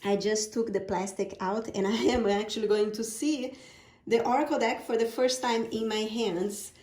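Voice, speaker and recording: A woman's voice: a little high, steady tone, moderate pace, a little mellow, echoey room. A woman from Brazil with a Brazilian Portuguese accent, speaking English as a second language and gushing as she unboxes her own oracle deck. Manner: gushing, repeats words of praise. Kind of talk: product unboxing vlog.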